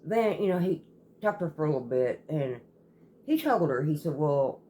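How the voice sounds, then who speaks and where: A woman telling a story casually as a host, in a halting, rambling way that often circles back and repeats. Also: sits low, animated, dark tone. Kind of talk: casual storytelling, retelling a viewer's account.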